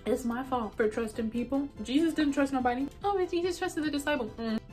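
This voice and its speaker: Young female vlogger with a high voice, dramatic casual storytelling from her kitchen over soft background music. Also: brisk. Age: young adult. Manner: animated, dramatic storyteller who breaks into song. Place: home kitchen, indoors. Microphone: phone camera propped on counter.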